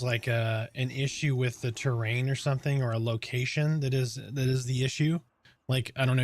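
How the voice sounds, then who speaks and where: A man with a calm, soothing voice chatting casually on a game stream, explaining things step by step in a relaxed, rambling way. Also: medium pitch. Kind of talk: casual live-stream chat.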